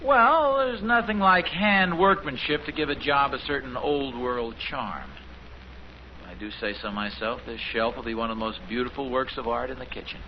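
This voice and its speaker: An actor playing a middle-aged American suburban dad in a 1950s radio sitcom, proud, mildly defensive, with a hesitant, folksy, dry manner. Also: male voice, medium pitch, normal speed, natural voice. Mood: self-satisfied. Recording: muffled, noisy 1950s radio recording.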